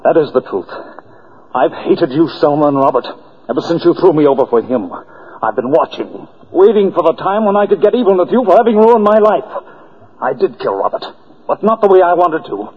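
An actor playing a bitter, unhinged jilted killer in 1940s radio melodrama. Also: male voice, a little high, big pitch swings, brisk, breathy edge. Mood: bitter, gloating. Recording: dull old radio broadcast with faint crowd.

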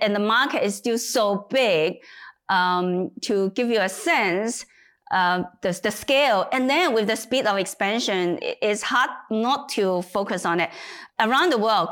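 A woman's voice: medium pitch, measured pace. Laughing businesswoman raised in Hong Kong. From China, raised in Hong Kong. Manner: animated, laughs easily, emphatic repetitions. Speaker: CEO guest. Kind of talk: business interview.

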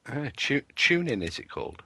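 A man's voice: medium pitch, very animated, moderate pace, gravelly, sharp tone, breathy edge, no room sound. A man from Wales co-hosting a radio show, teasing with dry wit. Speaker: co-host. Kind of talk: jokey radio show chat.

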